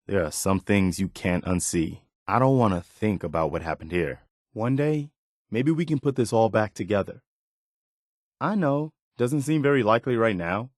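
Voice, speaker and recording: An actor playing an earnest, wary militia man in a post-apocalyptic video game, speaking in a measured, conversational way. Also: lowish voice, no room sound, clean studio sound. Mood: uneasy, disgusted, then wistful, hopeful. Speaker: video game companion character. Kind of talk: game voice acting, short spoken reactions.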